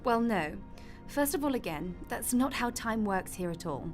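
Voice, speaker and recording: A woman's voice: mid-range voice, a little mellow, close to an everyday voice. A woman in a radio drama playing God as a casual, matter-of-fact, wry mother figure. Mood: firm, matter-of-fact.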